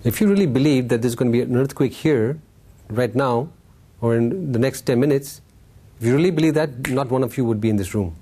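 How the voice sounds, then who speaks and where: Husky, mellow male storytelling teacher. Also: medium pitch, brisk, hardly any pauses. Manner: informal, playful, acting out dialogue, checking in with listeners. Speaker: Buddhist teacher giving a talk. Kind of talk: animated storytelling Dharma talk to a live group.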